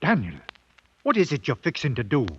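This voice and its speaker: A man's voice: fairly high pitch, wildly swooping pitch, a little fast, fairly bright tone, slightly rough, full character voice. A man in a 1950s radio drama playing a loyal, wary British ex-soldier, plain-spoken, rustic and deferential. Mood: uneasy. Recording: muffled, noisy old radio broadcast.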